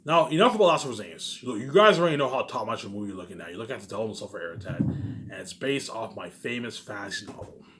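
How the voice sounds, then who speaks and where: A man's voice: on the low side, expressive, racing, a bit bright, a little hiss or hum. A man giving a rambling, informal monologue in a boastful, repetitive, emphatic way, swearing now and then. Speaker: vlogger.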